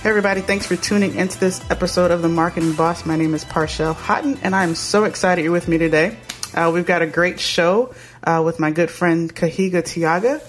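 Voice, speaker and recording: A man's voice: high-pitched, a little fast. Male podcast host, upbeat, filler-heavy, faint music bed. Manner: upbeat, many filler ums and uhs. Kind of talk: podcast hosting.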